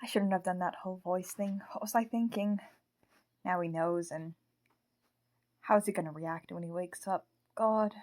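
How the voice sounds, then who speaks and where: A woman's voice: fairly low pitch, steady tone, normal speed, darkish tone, natural voice. A woman playing a polite, earnest haunted puppet in an audio drama, speaking precisely. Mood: anxious regret. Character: Marionette, polite, anxious, proud haunted puppet.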